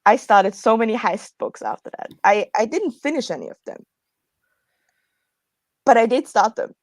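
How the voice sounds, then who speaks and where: Young woman, average pitch, no room echo, casual chat, rambling, self-correcting. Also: animated, moderate pace. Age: young adult. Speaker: panelist in a writers' livestream.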